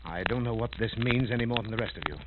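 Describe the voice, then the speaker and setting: A man's voice: medium pitch, quick pace, lightly acted. An actor playing a commanding, determined male investigator with clipped, authoritative delivery in a 1930s radio drama. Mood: firm, purposeful. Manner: clipped, authoritative, giving orders. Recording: noisy, clicking, tinny 1930s radio recording.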